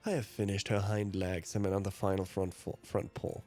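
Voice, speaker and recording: A man's voice: low-pitched, flat delivery, a little fast, a little mellow. A man, a let's player reading visual novel narration aloud. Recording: music behind the voice.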